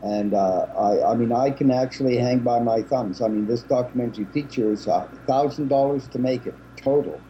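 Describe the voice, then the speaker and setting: Chatty man with a mellow voice. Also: mid-range voice, brisk, few pauses, highs cut off, slight background noise. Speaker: interview guest. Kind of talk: casual chat. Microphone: video call.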